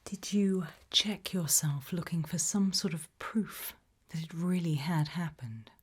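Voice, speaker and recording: A British woman speaking in a soft, breathy, mostly whispered ASMR style, gently asking measured questions as an interviewer in a roleplay. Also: fairly low pitch. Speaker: ASMR roleplayer as an abduction investigator.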